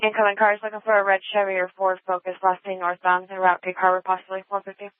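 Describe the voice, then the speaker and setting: High-voiced male dispatcher on tinny police radio. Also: completely flat delivery, fast, smooth, dry recording. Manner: clipped, procedural. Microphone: radio dispatch microphone. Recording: tinny, phone-like police scanner audio.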